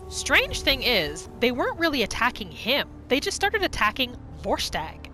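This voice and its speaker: Woman doing casual roleplay game narration, bright chatty voice, soft music behind her. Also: sits high, huge pitch swings, normal speed. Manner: chatty, informal, storytelling in third person. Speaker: gaming video host.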